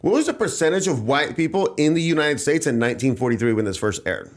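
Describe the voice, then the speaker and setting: A man's voice: a little high, animated, fast talker. A man hosting a podcast in casual chat, emphatic and repeating rhetorical asides. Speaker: podcast host.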